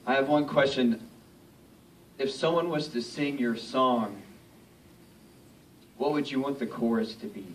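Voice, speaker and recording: A man's voice: mid-range voice, unhurried, long pauses. A man in an audience respectfully addressing a speaker with a question. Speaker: audience member, military veteran. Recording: distant mic with faint noise.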